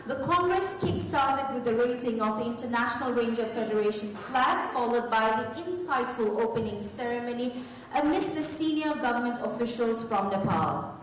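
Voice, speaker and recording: A woman's voice: fairly high pitch, lively intonation, normal speed. Echoey woman hosting a formal event. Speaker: master of ceremonies. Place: large indoor conference hall, at a podium. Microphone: podium gooseneck microphone. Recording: echoey hall PA, distant, audience noise. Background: audience in the hall.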